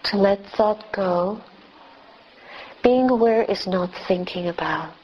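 Slow-speaking woman guiding meditation. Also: mid-range voice, lo-fi sound, slight background noise. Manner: gentle, measured, with long pauses between short phrases. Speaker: meditation teacher, Buddhist nun. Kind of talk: guided meditation.

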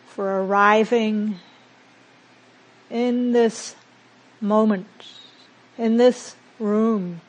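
A woman meditation teacher leading a guided mindfulness practice in a gentle, unhurried manner with long pauses between phrases. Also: mid-range voice, low-quality recording.

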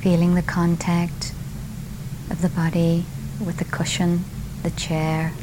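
A woman meditation teacher leading a guided meditation at a retreat, speaking calmly in short phrases with long pauses. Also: fairly low pitch. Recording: steady background hiss.

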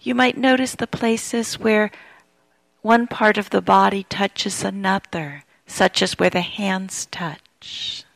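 A woman teaching meditation, giving gentle, unhurried guided instructions with long pauses between phrases. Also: medium pitch, steady tone, vocal fry, dry recording, low-quality recording.

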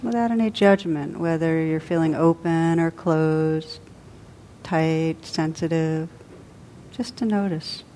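Soft-spoken woman guiding a meditation. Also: sits low, slight background noise. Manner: soft, unhurried, with long pauses. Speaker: meditation teacher. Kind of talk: guided meditation.

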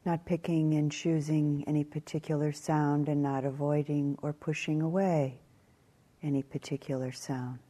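A woman teaching guided meditation in a calm, measured way, leaving long pauses between short phrases. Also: deep voice.

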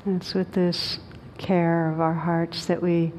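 A woman guides a meditation in a slightly low, mellow voice. Her delivery is gentle, soothing and unhurried, with very little rise and fall and long pauses between phrases. A faint rumbling noise sits under the recording. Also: little pitch movement, rough recording. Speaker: meditation teacher leading a guided meditation.

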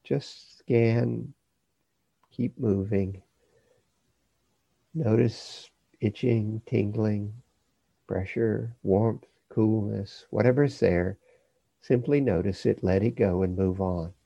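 A man teaching a guided body scan meditation, giving measured instructions in short phrases with pauses between them. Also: fairly low pitch, fairly even intonation, creaky, breathy edge, no echo, highs cut off.

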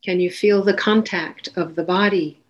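A woman leading a guided meditation as a teacher, giving gentle, unhurried instructions with long pauses between phrases. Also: on the low side, steady tone, dull audio.

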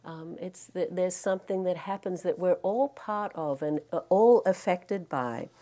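A woman from Australia with a softened Australian accent, giving a gentle, reflective dharma talk as a meditation teacher, with frequent ums and restarts. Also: fairly low pitch, unhurried. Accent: Australian, mixed with American. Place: meditation hall in California.

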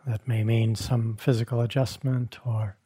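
A man leading a guided meditation as a teacher, speaking gently in short phrases with long, unhurried pauses and a soft, husky tone. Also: medium pitch, little pitch movement. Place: meditation hall at a retreat.

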